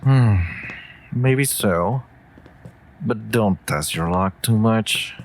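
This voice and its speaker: Man playing a very deep, slow wolf familiar. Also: slight background noise. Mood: soothing, dry teasing. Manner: slow, dry, teasing. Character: stoic wolf familiar, gruff but tenderly protective of mage. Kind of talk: intimate comfort audio roleplay.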